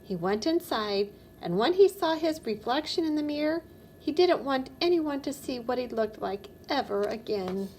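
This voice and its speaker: A woman narrating a children's storybook aloud in an expressive read-aloud style. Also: sits high, normal speed, a little hiss or hum, poor audio. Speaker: storybook reader.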